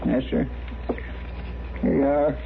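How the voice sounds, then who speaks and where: An actor playing a meek, simple-hearted Western helper, stammering and deferential in a folksy rural American drawl, in 1950s radio western style. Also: male voice, medium pitch, steady tone, slow, creaky. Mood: nervous, sheepish. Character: meek, flustered, simple-hearted frontier deputy's helper in trouble. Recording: dull, noisy 1950s radio recording.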